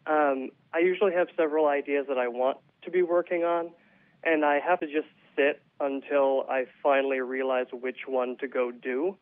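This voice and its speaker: A man's voice: medium pitch, steady tone, moderate pace. A man being interviewed as a playwright, explaining his thoughts reflectively in a conversational way. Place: phone call to a radio show. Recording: tinny narrow phone line.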